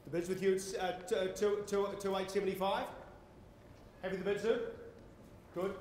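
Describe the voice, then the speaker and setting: An Australian man calls a property auction in a high, projecting voice. His delivery is theatrical yet formally courteous. The sound is heavily reverberant, with faint background noise in a conference hall. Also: little pitch movement, brisk, a little mellow. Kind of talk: live property auction calling. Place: on stage in a large indoor conference hall.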